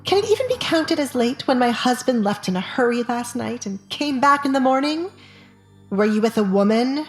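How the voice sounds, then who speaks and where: A woman playing a sharp-tongued, calculating wife who speaks insistently in an audio drama. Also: fairly high pitch, animated, moderate pace, soft background music, pitched above the performer's own voice, natural voice. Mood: accusing. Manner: scolding, insistent.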